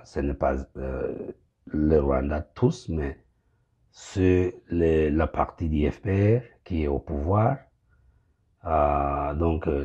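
Pastor, middle-aged man, very low and slow, muffled phone sound; gropes for words, French speaker. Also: dark tone, breathy edge. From Congo. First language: Kinyamulenge. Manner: halting, searching for words. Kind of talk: direct-to-camera address. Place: small indoor room.

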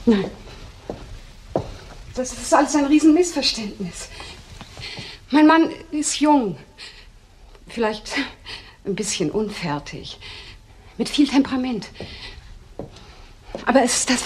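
An actress playing a proud, trusting wife in a crime drama, speaking in husky, halting, deliberate phrases. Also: female voice, high voice, expressive, a little mellow, a little hiss or hum. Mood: defiant, loving. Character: proud, trusting wife defending her suspected husband. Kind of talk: German TV crime drama dialogue.

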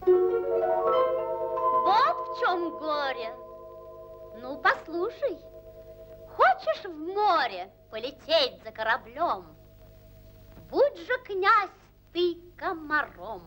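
A woman voicing a tender, regal magical swan, declaiming verse with a drawn-out lilt in an old animated film. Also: very high voice, exaggerated voice, poor audio. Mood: tender, solemn. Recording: old 1940s film soundtrack, faint music.

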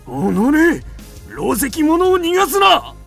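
An actor playing a dutiful, easily alarmed royal chancellor in a radio drama, speaking in a formal, deferential, theatrical way. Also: male voice, very high voice, wildly swooping pitch, takes it slowly, gravelly, fairly bright tone, faint music behind, heavily acted. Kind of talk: Japanese radio drama acting.

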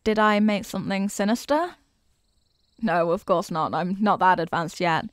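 A woman playing a young, eager and kindly apprentice witch in an ASMR roleplay, chatty, teasing and expressive as she talks straight to the listener. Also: medium pitch, moderate pace, dry recording, studio quality, close to an everyday voice. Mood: proud, chatty. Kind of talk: ASMR character roleplay, scripted.

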